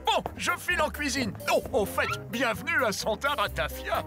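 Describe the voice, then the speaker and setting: Ambassadeur de dessin animé exalté. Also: male voice, very high-pitched, animated, normal speed, over music. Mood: gleeful triumph. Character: excitable gleeful male ambassador obsessed with baking. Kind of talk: cartoon dub.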